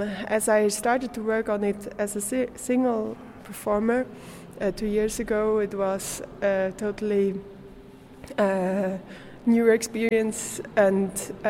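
Slow, hesitant Swiss woman speaking English. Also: mid-range voice, fairly even intonation, darkish tone, slight background noise. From Switzerland. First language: German. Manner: hesitant, searching for English words, laughs often. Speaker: art student. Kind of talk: interview.

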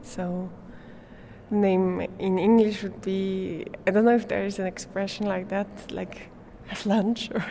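Slow, halting young woman from Switzerland. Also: medium pitch, little pitch movement, mellow, a little hiss or hum. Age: young adult. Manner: hesitant, searching for words, with small laughs. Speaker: performance artist, art student being interviewed. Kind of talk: casual interview in non-native English.

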